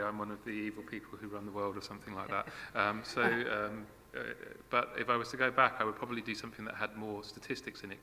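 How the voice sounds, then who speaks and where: Quick, bright-toned man on a panel. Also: on the low side, in a large hall. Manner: quick, self-deprecating.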